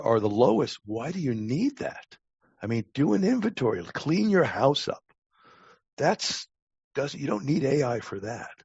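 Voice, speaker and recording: A man in his sixties speaking casually as an expert guest in an interview, halting but opinionated and plain-spoken. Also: mid-range voice, creaky, darkish tone, slightly rough. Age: 60s. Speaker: guest expert, talent acquisition advisor. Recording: muffled lo-fi, roomy sound.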